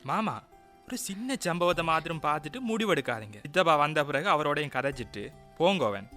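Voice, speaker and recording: Man acting conciliating young nephew, Sri Lankan Tamil accent, high bright voice. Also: expressive, brisk, faint music behind. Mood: pleading. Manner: pleading, conciliating. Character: young nephew, pleading and calm. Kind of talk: radio drama acting.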